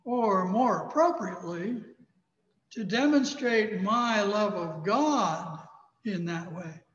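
A man in his sixties with an American accent, a pastor preaching a sermon in a measured, emphatic way. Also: high-pitched, a bit bright. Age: 60s. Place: large church sanctuary, indoors. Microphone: thin headset microphone. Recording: echoey church hall, slightly dull sound.

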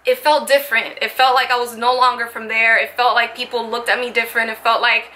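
A woman's voice: a little high, level tone, a little fast, bright, forceful tone. A woman in her twenties from the Dominican Republic, a native Spanish speaker, talking earnestly and reflectively to camera in a personal vlog from her bedroom. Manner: earnest, reflective, frequent "like" fillers, gestures with hands. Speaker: vlogger. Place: small decorated bedroom indoors.

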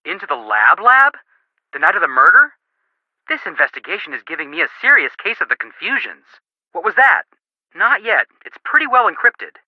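A man's voice: high voice, wildly swooping pitch, normal speed, dry recording, muffled recording, heavily acted. An actor playing a goofy, eager young male detective in a video game, with playful, wisecracking delivery. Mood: playful, wry. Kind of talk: video game character dialogue.

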